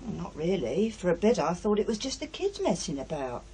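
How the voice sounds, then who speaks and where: A woman's voice: lowish voice, big pitch swings, brisk, darkish tone, a little hiss or hum. An actress playing a down-to-earth, working-class English mother in a radio play, telling things in a chatty, colloquial way. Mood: chatty, uneasy. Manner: colloquial, gossipy storytelling.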